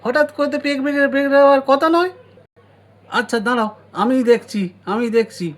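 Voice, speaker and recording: Man voicing an eager, high-voiced young brother. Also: a little fast. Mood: excited, concerned.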